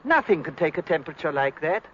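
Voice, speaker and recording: High-voiced scoffing British sceptic. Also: male voice, big pitch swings, fast. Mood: scoffing, incredulous. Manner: dismissive, incredulous. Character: scoffing, sceptical British gentleman gossiping about inventions. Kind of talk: 1950s docudrama acting. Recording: dull, noisy vintage film soundtrack.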